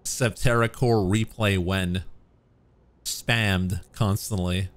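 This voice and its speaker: A young man streaming a game, chatting casually and joking with viewers, his throat a little hoarse. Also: deep voice, fairly even intonation, slow. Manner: joking, self-mocking, musing aloud. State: slightly hoarse. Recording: faint game music behind.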